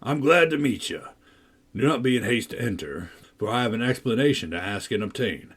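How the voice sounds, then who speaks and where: A man reading a novel aloud, voicing a deep-voiced, menacing villain who sneers, coaxes and threatens. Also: lively intonation, brisk, fairly bright tone, husky. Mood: commanding. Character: menacing, brooding older man, a manipulative villain.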